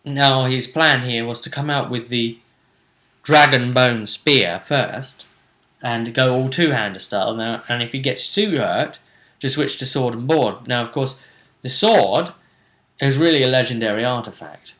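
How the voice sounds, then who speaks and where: A man hosting a tabletop role-play show, casually recapping the action in a jokey, theatrical way with drawn-out emphasis. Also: mid-range voice, a bit bright. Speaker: game master and host.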